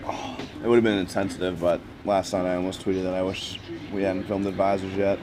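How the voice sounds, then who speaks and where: A man with a fairly low voice rattles off casual remarks fast while eating. Noise sits behind him all the while. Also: flat delivery. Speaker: coworker.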